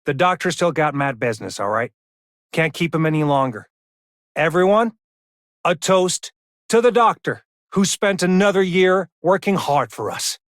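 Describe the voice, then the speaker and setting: Loyal young game comrade, raised pitch, breezy, cheerful, dry close sound. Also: male voice, animated, moderate pace, a bit bright, no room sound. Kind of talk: scripted game voice lines.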